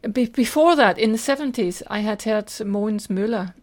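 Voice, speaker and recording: A woman's voice: medium pitch, expressive, moderate pace, a little mellow. An older woman with a Scottish accent, telling personal stories in an earnest, detailed way as an interview guest. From Scotland. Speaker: podcast guest, teacher and lecturer.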